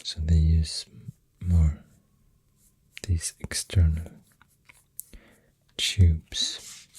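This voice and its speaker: A Swedish-accented ASMR artist speaks very low and softly, often slipping into a breathy whisper. The delivery is slow and hesitant, with a dark, mellow tone, recorded close to the microphone. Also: steady tone, dry recording. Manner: hushed, hesitant, gentle rambling delivery drifting into whispers. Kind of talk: soft-spoken ASMR, rambling facts while drawing. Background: pencil writing and clicking sounds.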